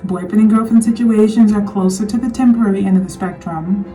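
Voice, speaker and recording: A woman giving an earnest commentary monologue on her channel, slightly hoarse, often repeating her points to drive them home. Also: mid-range voice, steady tone, a little fast, dark tone, distant mic, soft background music, low-quality recording. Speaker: vlogger.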